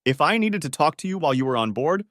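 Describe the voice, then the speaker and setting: A man's voice: a little high, sing-song, fast talker, fairly bright tone, pitched above the performer's own voice. A man voicing a sarcastic, wounded husband in a dramatic story reading. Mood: pointed, bitter. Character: Steve, sarcastic, wounded husband needling his wife. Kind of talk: audiobook-style dramatic reading of dialogue.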